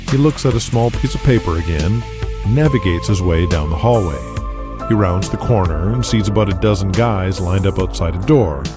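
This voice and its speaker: A low-pitched man delivers scripted dramatic narration for an audio drama. His deep voice sits over clearly audible background music.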